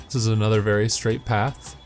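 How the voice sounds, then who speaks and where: A man's voice: fairly low pitch, level tone, a little fast, soft background music. A man giving matter-of-fact, step-by-step instructional commentary as he narrates a video game walkthrough.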